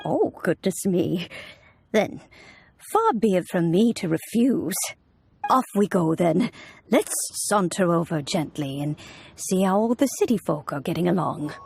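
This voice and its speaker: A woman voicing a serene, kindly old woman in a video game, speaking in a measured, soothing, old-fashioned way. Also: fairly low pitch, animated, vocal fry, darkish tone, husky, no echo, exaggerated voice. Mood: kindly, content.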